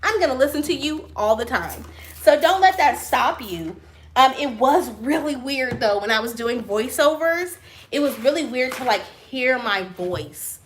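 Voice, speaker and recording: A small business owner answering viewer questions on a live stream, loud and animated, laughing often, with a high voice she compares to a cartoon mouse. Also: normal speed, slight background noise. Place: her print shop office.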